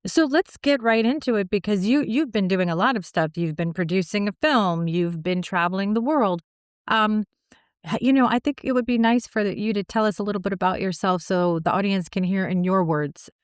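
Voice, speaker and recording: Woman hosting a podcast, smooth clear voice, dry close sound. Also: medium pitch, normal speed, dry recording. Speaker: podcast host. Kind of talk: interview conversation.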